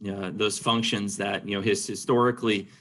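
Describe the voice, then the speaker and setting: A man's voice: fairly low pitch, little pitch movement, normal speed, fairly bright tone. A man answering interview questions conversationally as a senior executive, peppering his sentences with "you know".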